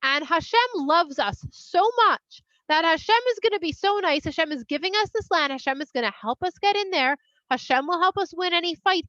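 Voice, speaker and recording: A woman's voice: very high voice, fairly quick, fairly bright tone, dry recording, muffled recording. A woman voicing a brave male scout in a children's story, urgently reassuring and rallying a scared crowd. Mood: rousing, confident. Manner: urgent, emphatic, encouraging.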